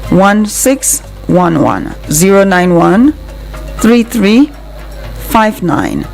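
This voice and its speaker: Creaky-voiced Nigerian woman hosting radio. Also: lowish voice, a little mellow, poor audio. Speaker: radio programme host. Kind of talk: conversational live radio presenting.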